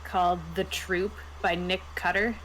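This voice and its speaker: A woman's voice: lowish voice, flat delivery, moderate pace, fairly bright tone, a little hiss or hum, poor audio. A woman chatting casually as co-host of a discussion about roleplaying games. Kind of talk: casual chat.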